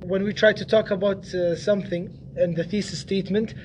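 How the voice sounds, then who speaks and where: A teenage boy with Arabic as his first language speaks in a noticeably high voice, stopping and restarting his words often. His talk is loose and casual, as in a school podcast chat. A faint hiss sits beneath the recording. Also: steady tone, brisk, darkish tone, low-quality recording. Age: teens. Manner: hesitant, restarts words, many fillers. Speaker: student guest.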